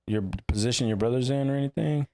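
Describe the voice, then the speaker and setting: A man co-hosting a podcast, gently encouraging the guest to share. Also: mid-range voice, quick pace. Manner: friendly, encouraging prompts. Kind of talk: casual podcast chat.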